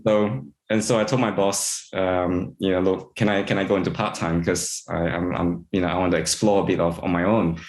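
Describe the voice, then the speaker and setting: A man from Singapore, an integrative veterinarian, answering interview questions in a halting way with many repeated words and fillers. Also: deep voice, steady tone, a little mellow, roomy sound, poor audio.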